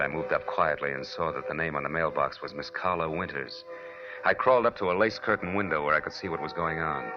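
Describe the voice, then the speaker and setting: An actor playing a wry, tough, wisecracking private detective in a 1940s radio noir drama. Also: male voice, low voice, close to an everyday voice. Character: wry, hardboiled male private detective narrating his case. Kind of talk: 1940s radio noir scripted narration and acting. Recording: dull lo-fi 1940s radio recording, music.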